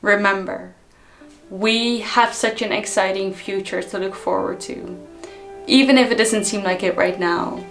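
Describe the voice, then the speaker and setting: A woman from the Netherlands, giving an unhurried, reflective motivational talk to her viewers with frequent ums. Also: medium pitch, fairly even intonation, vocal fry, soft background music, rough recording. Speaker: personal development vlogger.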